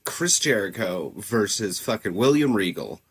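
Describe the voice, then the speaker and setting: Podcast co-host, man in his thirties, excitable and lively, chatty, swears freely. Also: fairly low pitch, unhurried, husky. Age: 30s. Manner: excitable, swears, many likes. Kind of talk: casual chat.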